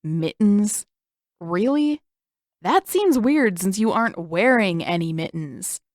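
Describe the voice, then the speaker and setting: A woman's voice: mid-range voice, lively intonation, takes it slowly, dry recording, clean studio sound. A woman voicing a cheeky, smug teenage boy in an audio story, nosy and teasing. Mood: suspicious.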